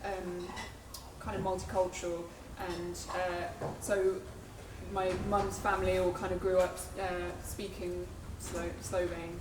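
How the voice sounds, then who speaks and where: A woman's voice: lowish voice, little pitch movement, a little slow. A woman folk musician casually introducing a tune on stage, chatty and hesitant with many fillers. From England. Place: live music venue. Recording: echoey room, distant mic, background noise.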